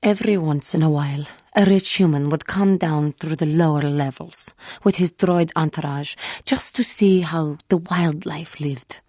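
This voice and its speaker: A woman playing a wounded, earnest female alien Jedi in a video game, speaking in formal, measured phrases. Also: low-pitched, warm tone, breathy edge, dry recording, studio quality. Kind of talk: scripted video game character dialogue.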